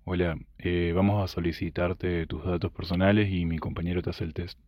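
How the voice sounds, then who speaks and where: A man playing a calm, supportive doctor in a student radio drama. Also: low-pitched, brisk, no echo, dull audio. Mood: matter-of-fact.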